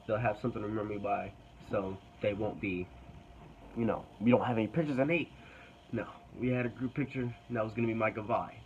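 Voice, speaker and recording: A man's voice: lowish voice, phone-quality sound, a little hiss or hum. A young man casually telling a personal story to camera, hesitant and rambling with many fillers.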